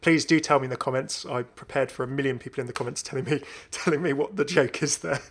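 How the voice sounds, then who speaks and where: A man hosting a gaming video, giving casual, enthusiastic commentary in a creaky voice as he browses game items. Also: mid-range voice, fairly even intonation, quick pace, fairly bright tone. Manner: chatty, enthusiastic. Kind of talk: casual gameplay showcase commentary.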